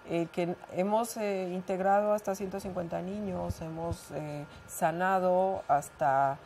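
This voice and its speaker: Low-voiced Mexican woman, earnest. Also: fairly even intonation, measured pace, creaky, a little mellow, a little hiss or hum. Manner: earnest, explanatory, many fillers. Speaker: guest, Watsu practitioner. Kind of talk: radio interview answers.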